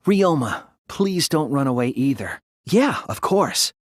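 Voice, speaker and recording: Timid teenage boy detective, anime game dub, earnest, polite, widely swinging pitch, close dry sound. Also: very animated, a little slow, dry recording. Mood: calm, thoughtful. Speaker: video game voice actor.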